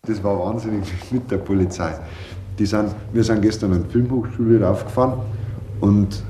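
Guy chatting through a story, low mellow voice, echoey room. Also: normal speed. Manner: animated storytelling, quoting others' speech. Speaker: interviewee telling an anecdote. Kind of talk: casual spontaneous anecdote. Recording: roomy echoey room with faint noise.

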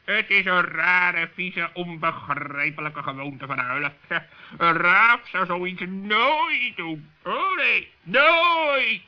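A man's voice: sits high, expressive, takes it slowly. A man playing a haughty, sly, chattering raven in a children's radio play, self-righteous and defensive. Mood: disdainful. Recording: dull old radio play recording.

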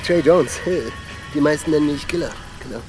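Radio crime drama: man as twitchy small-time jailbird in his twenties, rapid, slangy, repeating himself, music behind. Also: a little high, natural voice, rough recording. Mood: jittery, chummy. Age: 20s. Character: jittery, chatty 26-year-old male small-time ex-convict. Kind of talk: crime radio play acting.

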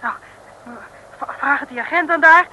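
Sensible plucky girl sidekick, high-pitched, sharp bright tone, hesitant, old radio serial, faint noise. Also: moderate pace. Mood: doubtful, coaxing. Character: plucky, sensible young female detective's sidekick. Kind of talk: 1960s Dutch comic radio serial acting. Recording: noisy, vintage radio recording.

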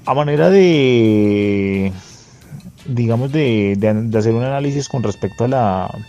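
A man with a Colombian accent giving an informal, analytical spoken report, measured and somewhat hesitant. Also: mid-range voice, animated, mellow, highs cut off. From Bogotá, Colombia. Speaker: guest correspondent sending an audio report. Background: faint guitar music.

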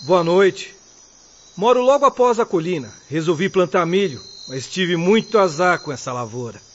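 An actor playing a humble, good-humored and devout poor farmer in a radio drama, speaking earnestly. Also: male voice, high-pitched, animated, normal speed, slight background noise. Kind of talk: Brazilian radio drama acting. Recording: dull lo-fi audio.

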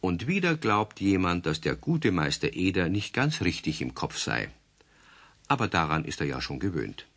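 A man narrating a children's radio play, gentle and confiding, addressing the young listeners directly. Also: sits low, sing-song, moderate pace. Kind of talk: warm storybook narration for children.

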